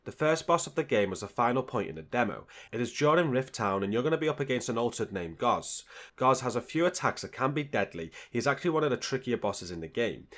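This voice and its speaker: A man's voice: mid-range voice, fairly bright tone. Man narrating a scripted game guide. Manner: instructional, straightforward. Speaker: gaming video host.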